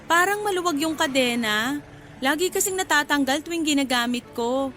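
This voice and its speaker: A woman's voice: sits high, expressive, moderate pace, fairly bright tone, a little hiss or hum. An actress playing a playful, forward young flower seller in a Filipino radio drama, coy and giggly as she teases. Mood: friendly, asking favor.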